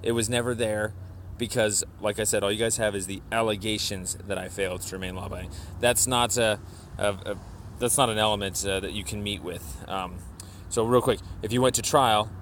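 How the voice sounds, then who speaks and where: Halting, insistent man over background noise. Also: lowish voice, steady tone, fairly bright tone. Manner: halting, insistent, repeats himself. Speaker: caller leaving a complaint voicemail. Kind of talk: spoken voicemail complaint, argumentative explanation.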